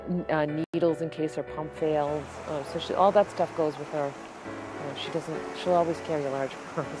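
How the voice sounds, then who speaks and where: A middle-aged American woman with a low voice recalls things in an unscripted interview, speaking as a parent. Music plays clearly behind her.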